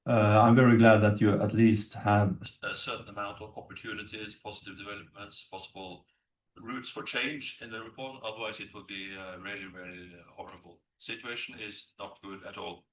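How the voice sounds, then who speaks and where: A man from Norway talks informally on a conference panel, often pausing and restarting his sentences. His voice carries from a distance. The room is large and echoing. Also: lowish voice, steady tone, slight background noise. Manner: informal, frequent fillers and restarts. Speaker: government policy official, panelist. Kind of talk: unscripted conference remarks. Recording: echoey room, distant mic.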